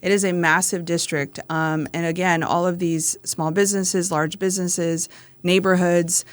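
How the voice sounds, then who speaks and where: A woman's voice: lowish voice, little pitch movement, moderate pace, clean tone, no room sound. A woman from San Antonio, Texas, a city council member, talking through a casual panel conversation and telling stories about the residents she met. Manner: storytelling, acts out voices of residents.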